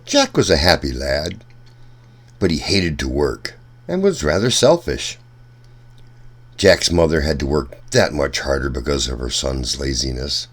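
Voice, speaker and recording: A man narrates a children's storybook in a low voice with lively, swinging intonation. He reads slowly and expressively, as a storytime host speaking to young listeners. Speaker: storytime reader.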